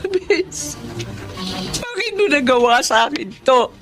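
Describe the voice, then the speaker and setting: A woman's voice: high voice, very animated, slow, over music, heavily acted. A woman acting a hurt, outraged wealthy mother in a Filipino radio drama, emotional and melodramatic. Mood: anguished, reproachful.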